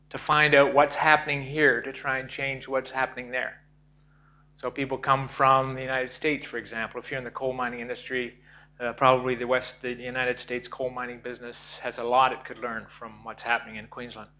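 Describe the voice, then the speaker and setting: Bright-voiced Canadian man lecturing. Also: mid-range voice. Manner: lively, asks the audience questions. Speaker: safety lecturer. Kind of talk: seminar talk. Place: seminar hall. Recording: dull lo-fi recording.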